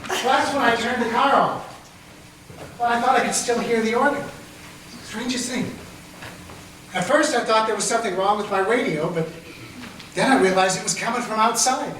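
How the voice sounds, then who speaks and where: A man acting out a chatty small-town fellow who rambles through a puzzling tale in a high voice. It sounds distant and rings through a very echoey hall, as in a live radio play on stage. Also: normal speed, long pauses, fairly bright tone, slight background noise. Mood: puzzled.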